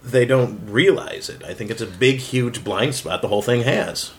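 A man's voice: medium pitch, normal speed, slight background noise. A man giving his opinions in a casual, analytical group conversation. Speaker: voice actor on a round-table.

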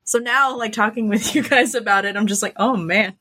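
A woman's voice: on the high side, brisk, sharp tone, husky. A woman guest on a podcast, chatting casually in a hesitant, self-deprecating way. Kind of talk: casual chat.

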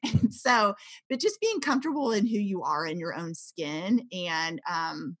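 A woman's voice: on the low side, expressive, a little slow, no echo. A woman in her thirties, co-hosting a podcast, speaking candidly and reflectively in casual faith-based chat. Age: 30s. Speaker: podcast co-host.

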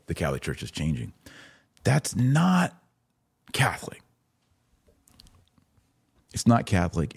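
An American man hosting a religious commentary podcast, speaking emphatically with deliberate pauses for stress. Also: low-pitched, dark tone, husky. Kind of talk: opinionated commentary to camera.

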